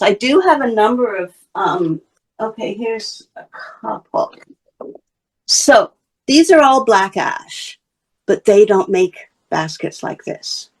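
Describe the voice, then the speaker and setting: A woman's voice: medium pitch, darkish tone, poor audio. A woman from Wales, a basketry artist and teacher, chatting in an interview while she shows and explains her work. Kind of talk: casual interview talk.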